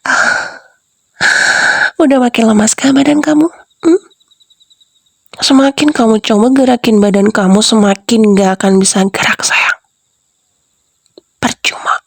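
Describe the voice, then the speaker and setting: A woman's voice: a little high, no room sound, clean studio sound. A woman voicing a sinister young wife who whispers and giggles as she taunts the husband she has drugged. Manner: soft, teasing, breathy whispers with giggles. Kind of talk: whispered roleplay audio drama.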